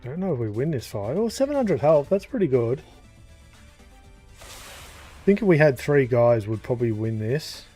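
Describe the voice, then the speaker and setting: A man casually commenting as he plays through a game, thinking aloud with pauses and fillers. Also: mid-range voice, sing-song, warm tone, soft background music. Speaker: gaming YouTuber. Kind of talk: casual let's-play commentary.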